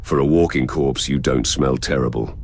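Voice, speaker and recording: A man voicing a gruff warrior hero in a video game, speaking in a terse, blunt but loyal manner. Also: rumbling low, normal speed, music in the background. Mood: blunt teasing. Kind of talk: voiced player character dialogue in game mod.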